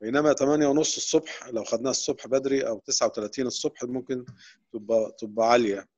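A man with an Egyptian Arabic accent, a veterinary professor answering questions during an online lecture with many quick restarts. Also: mid-range voice, fairly even intonation, a little mellow. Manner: explanatory, rapid, with frequent restarts. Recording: dull, lo-fi online call audio.

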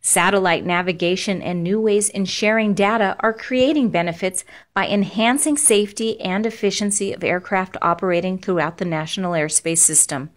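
A woman with an American accent reading scripted instructional narration in a measured, formal, explanatory way. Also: mid-range voice.

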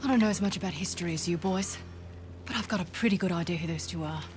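A woman's voice: fairly low pitch, fast talker, close to an everyday voice. Woman playing a cold, curt mercenary over music. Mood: scornful. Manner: curt, contemptuous. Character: cold, hard-nosed, pragmatic mercenary woman. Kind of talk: dramatic video game cutscene acting.

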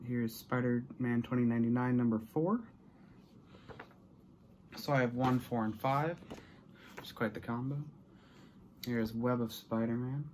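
A man's voice: mid-range voice, fairly even intonation, unhurried, long pauses, a little mellow. Young American man chatting, noisy recording. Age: young adult. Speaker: vlogger showing a collection haul. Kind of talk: casual chat to camera. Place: small bedroom, indoors.

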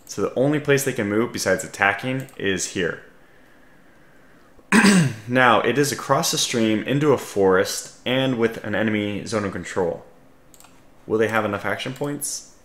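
A man with a scratchy voice giving casual strategy game commentary, calmly thinking aloud as he analyses his options. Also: fairly low pitch, reverberant. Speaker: gaming video host.

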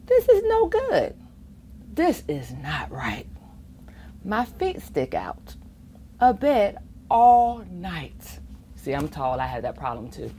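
Very animated, slow-paced woman reading to children. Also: lowish voice, a little hiss or hum. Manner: expressive, chuckling asides, acts out the rhymes. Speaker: guest reader of a children's picture book. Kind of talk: read-aloud storytelling for children with playful asides. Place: library children's reading corner, indoors.

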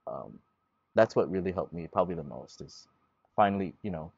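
A man in his twenties, a guest in a relaxed outdoor panel talk, explaining at length and gesturing as he speaks. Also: low voice, fairly quick, gravelly, husky, no room sound, highs cut off. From Guam. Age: 20s. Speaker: guest, Chamorro language learner. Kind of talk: casual panel conversation. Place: outdoors at a table in a leafy garden. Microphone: lapel mic.

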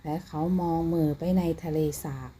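A woman's voice: deep voice, level tone, moderate pace, mellow, slightly rough. A woman narrating a scripted children's bedtime fairy tale as a storyteller.

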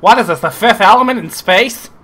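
A man giving casual, excitable, sarcastic commentary over a video game playthrough, swearing often. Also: high voice, a little fast, rough recording. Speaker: gameplay commentator. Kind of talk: casual let's play commentary.

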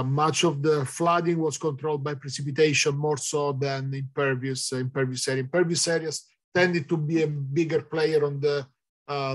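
A middle-aged man with an Italian accent answering audience questions in an online talk, hesitant with many fillers, heard over a video call. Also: a little high. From Italy. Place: indoors, virtual background.

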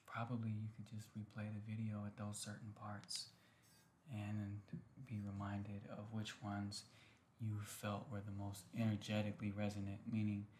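A man in his thirties speaking softly in casual ASMR style, unhurried and often slipping into whispers. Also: fairly low pitch, monotone. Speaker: ASMR host. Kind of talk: soft-spoken ASMR, casual chat to viewers. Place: small home room, indoors. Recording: faint background noise and room ambience.

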